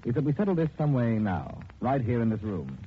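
A dull, noisy old radio recording carries a shrewd gentleman detective. He is an urbane, polite man whose measured speech moves freely up and down. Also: mid-range voice, wildly swooping pitch. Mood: smooth, probing. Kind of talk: 1940s radio detective drama acting.

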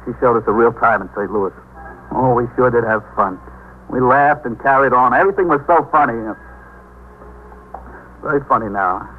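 An actor playing an emotional, good-natured young sailor in a 1950s radio drama, with a hesitant, folksy delivery. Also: male voice, medium pitch, moderate pace, pauses often, close to an everyday voice. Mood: grieving. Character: anxious, grieving young sailor husband, good-natured and excitable. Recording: tinny, noisy 1950s radio recording.

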